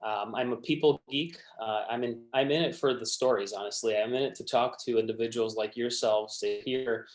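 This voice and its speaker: Recruiter type, man at a mid pitch, friendly and casual, lots of "uh"s, in a roomy, echoey space. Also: moderate pace, few pauses. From born in Romania, raised in Michigan. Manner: friendly, frequent fillers and restarts. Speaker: recruiter giving a resume workshop. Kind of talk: casual presentation to students.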